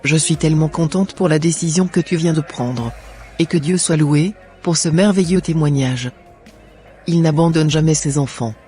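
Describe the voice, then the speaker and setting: Man voicing married churchwoman, higher pitch, humble, earnest, cartoon dialogue, faint music. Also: normal speed, darkish tone, close to an everyday voice. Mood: grateful, joyful.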